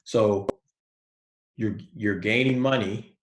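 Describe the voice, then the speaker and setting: A male college professor giving a relaxed, soft-spoken lecture online, with many fillers. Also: fairly low pitch, takes it slowly, echoey room.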